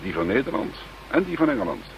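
An actor playing a genial, businesslike Dutch chief police inspector in a radio drama. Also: male voice, fairly low pitch, big pitch swings, quick pace, creaky, rough recording. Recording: faint background noise, old radio play.

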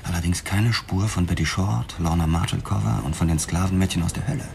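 An actor playing a driven, curt police detective in a German radio drama, speaking in a clipped, insistent way. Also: male voice, low-pitched, brisk. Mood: matter-of-fact. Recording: clear background noise.

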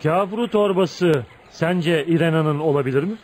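A brooding radio play hero, acted by a man, volatile and jealous. His voice sits fairly high and presses with dramatic intensity. Faint noise runs through the recording. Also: moderate pace, a little mellow, natural voice. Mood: bitter, pleading. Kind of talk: Turkish radio theatre acting.

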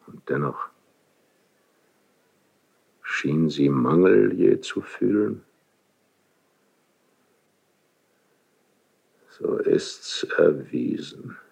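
A suspicious, wounded monarch broods in a very deep voice full of gravel. He speaks in halting, imperious phrases, as in classical stage tragedy on the radio, taking his time over every word. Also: darkish tone, heavily acted. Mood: brooding, weary. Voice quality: gravelly, husky. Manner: slow, halting, theatrical stage delivery. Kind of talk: classical German verse radio drama.

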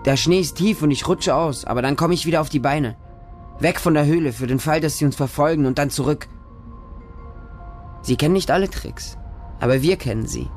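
A man's voice: a little high, brisk, natural voice. Radio drama: male actor as homeless street kid, frightened yet devoted, childlike simple phrasing, music bed underneath. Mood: breathless, anxious. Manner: short, simple, childlike sentences. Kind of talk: first-person radio drama monologue.

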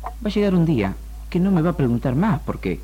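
An actor with an Argentine accent playing a patient, measured psychoanalyst in a 1960s radio drama, calm and assured. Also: male voice, on the high side, slightly rough, dull audio. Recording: faint noise of old radio recording.

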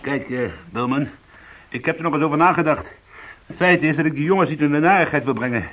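An actor playing a cocky, evasive burglary suspect in a radio crime drama, answering back with sardonic defiance. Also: male voice, on the high side, brisk, fairly bright tone.

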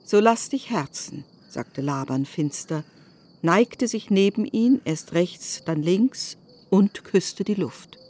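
A woman's voice: fairly low pitch, lively intonation, slow pace, dark tone. A woman narrating a literary radio drama from a script.

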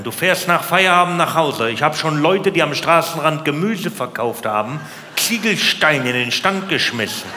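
A man's voice: sits high, bright, forceful tone. A man doing stand-up comedy storytelling, repeating words and acting out little scenes. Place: large live venue. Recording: echoey hall, live stage, noisy.

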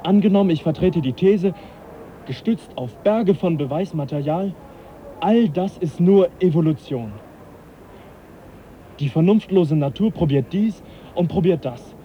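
An actor playing the young naturalist Charles Darwin, earnest and measured, in a radio play. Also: male voice, high-pitched. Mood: persuasive. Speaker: scientist lecturing. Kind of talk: 1980s German radio play acting. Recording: dull lo-fi radio recording, faint hiss.

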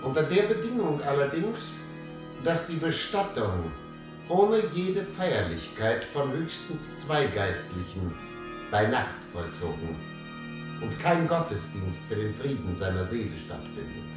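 A stern archbishop in a radio play, formal and measured. He sounds far from the microphone in a heavily reverberant space, his pitch rising and falling widely, with music clearly behind. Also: sing-song. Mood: solemn, formal. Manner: solemn, measured, official. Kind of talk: radio drama acting. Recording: echoey hall, distant from mic.

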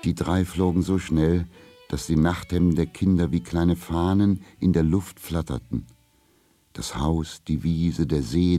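A man's voice: rumbling low, dark tone, no echo. A man giving scripted storybook narration in a children's radio play.

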